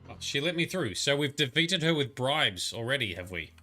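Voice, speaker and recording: A man chats through a game livestream in a loose, rambling way, tossing out muttered asides and grumbles as he plays. His voice is bright and clear-edged, sitting at an ordinary male pitch. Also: big pitch swings, normal speed, soft background music. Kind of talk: casual live gameplay commentary.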